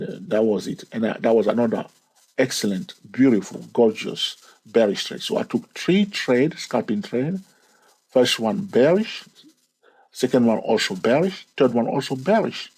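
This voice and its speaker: A man's voice: mid-range voice, husky, poor audio. A man hosting his channel, walking through a chart lesson, stretching key words and repeating counts. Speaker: trading educator and channel host. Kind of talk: screen-recorded chart lesson.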